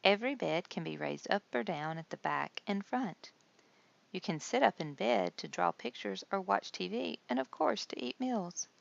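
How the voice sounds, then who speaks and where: A woman's voice: mid-range voice, lively intonation, normal speed, gravelly, lo-fi sound. A woman voicing a kindly hospital nurse who explains things patiently and reassuringly in a storybook. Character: kindly adult female hospital nurse guide.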